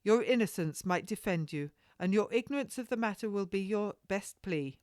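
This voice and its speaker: A woman's voice: mid-range voice, expressive, normal speed, a little mellow, no echo, clean studio sound, pitched above the performer's own voice, natural voice. A woman voicing a cocky, evasive male captain from a period storybook, with flowery formal speech. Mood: firm, evasive.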